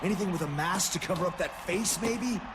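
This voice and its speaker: Man as suspicious wrestler with highish voice. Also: brisk, a loud crowd, natural voice. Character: suspicious, confrontational male pro wrestler turned investigator. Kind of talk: video game cutscene acting.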